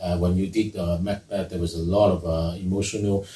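A man with a fairly low voice, talking casually as a singer guest in an interview. His speech is halting and full of restarts. The sound is roomy and picked up from a distant mic. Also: little pitch movement, warm tone. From Hong Kong. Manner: chatty and halting, with frequent restarts and repeated words. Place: music academy room.

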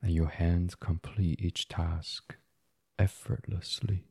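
A man with a low, very breathy and husky voice leads a sleep meditation. He speaks gently and very slowly in an ASMR style. The sound is dry and close. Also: level tone, dry recording. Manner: gentle, soothing, unhurried, breathy. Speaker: guided meditation host. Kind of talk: soft guided sleep meditation, ASMR reading.

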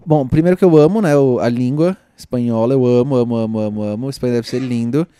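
A man's voice: mid-range voice, fast, warm tone, clean tone, no room sound. A young man with a São Paulo accent, chatting casually and animatedly, full of slang and gushing repetition. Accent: São Paulo Portuguese. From Brazil. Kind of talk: casual chat.

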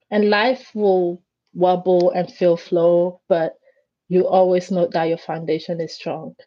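A woman whose first language is Greek, a guest in an interview who tells stories in an animated way and acts out the voices she quotes. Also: mid-range voice, no echo, dull audio. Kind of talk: conversational interview.

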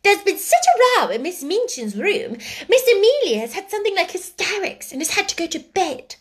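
A woman voicing excitable, nosy schoolgirls in a storybook, with eager, insistent delivery. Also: very high-pitched, pitched above the performer's own voice. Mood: eager, curious. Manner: eager, rapid-fire questioning.

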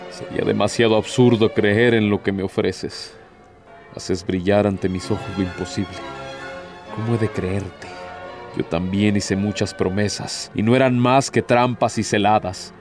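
Man playing tormented proud devil, low male voice, bitter, solemn declamation, radio play, music underneath. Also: close to an everyday voice. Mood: agitated, defiant. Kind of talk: radio drama acting.

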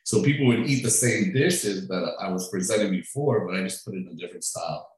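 A man from Peru, a chef who runs a food truck, answering interview questions in a relaxed, chatty way, often restarting his sentences. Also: deep voice, fast. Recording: very echoey room.

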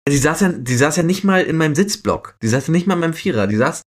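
A young man from Berlin co-hosting a podcast, telling anecdotes casually in slangy, colloquial language with animated delivery. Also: fairly high pitch, fast. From Berlin, Germany. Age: young adult. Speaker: podcast co-host.

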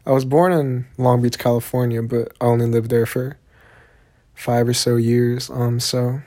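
A man's voice: medium pitch, flat delivery, unhurried, warm tone. Rambling young Texan man, Southern drawl. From Texas, USA. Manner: rambling, laid-back, profane, heavy on fillers. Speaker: podcast host. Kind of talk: solo podcast monologue.

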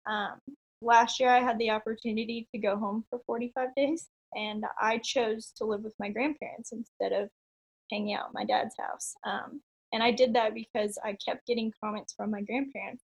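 A woman in her late twenties chats as a podcast guest, upbeat and talkative. Her voice sits a bit high for a woman. She fills pauses with ums and small laughs. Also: fairly even intonation, gravelly, slightly rough, lo-fi sound. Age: 20s. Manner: upbeat, chatty, frequent ums and little laughs. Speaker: podcast guest, online entrepreneur. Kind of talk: casual interview chat.

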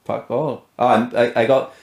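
A man's voice: medium pitch, little pitch movement, moderate pace, creaky. A man hosting a podcast, tipsy and laid-back, laughing and swearing in casual banter. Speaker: podcast host. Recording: roomy echo.

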